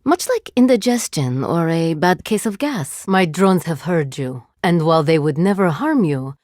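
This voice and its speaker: A woman playing a composed female cyborg agent with a drone hive in a video game, speaking formally and precisely in measured phrases. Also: lowish voice, lively intonation, darkish tone, no echo, clean studio sound, lightly acted. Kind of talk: scripted video game voice lines.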